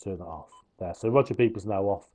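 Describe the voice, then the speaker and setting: A man's voice: deep voice, fairly quick, warm tone. A man explaining how a gadget works step by step, in an informal hands-on review style. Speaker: product reviewer.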